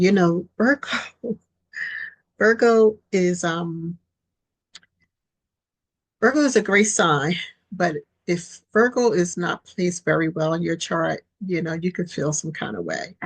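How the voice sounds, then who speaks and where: A woman with a raspy voice hosting her own podcast, talking casually through a tarot reading with many fillers and restarts, on a built-in mic without a headset. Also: on the low side, dry recording, poor audio. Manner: rambling, full of fillers and restarts. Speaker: podcast host and tarot reader.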